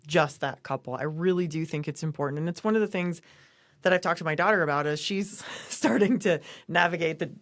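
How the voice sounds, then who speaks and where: A woman's voice: low voice, a little fast, no room sound. A middle-aged woman co-hosting a podcast, talking casually and giving emphatic advice. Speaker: podcast co-host. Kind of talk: casual conversational podcast talk.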